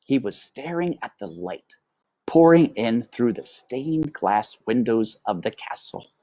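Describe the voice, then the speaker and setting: A woman reading a children's book aloud as storyteller, with dramatic, deliberate phrasing. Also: a bass voice, very animated, dark tone, no echo.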